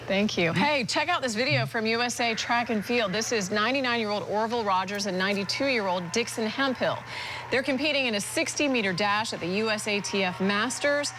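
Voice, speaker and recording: A woman's voice: fairly high pitch, a little fast, fairly bright tone, slight background noise. An American woman presenting news items as a television anchor.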